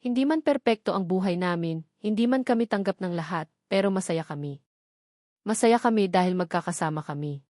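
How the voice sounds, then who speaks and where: A woman's voice: fairly low pitch, lively intonation, warm tone, no echo. Woman narrating sentimental stories, dry studio sound. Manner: earnest, sentimental, measured reading. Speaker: story narrator. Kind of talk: scripted dramatic story narration in first person.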